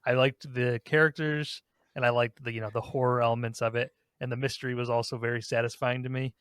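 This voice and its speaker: A man of average pitch chats casually as a podcast co-host. He gives his opinions in an informal way, recorded dry with no room sound. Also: level tone, fast, a bit bright, clean tone, no room sound. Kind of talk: casual chat, giving opinions.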